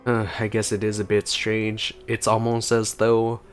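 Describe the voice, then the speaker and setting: A man's voice: fairly low pitch, completely flat delivery, unhurried, soft background music. A man giving casual let's-play commentary as a gaming host, musing tentatively as he goes.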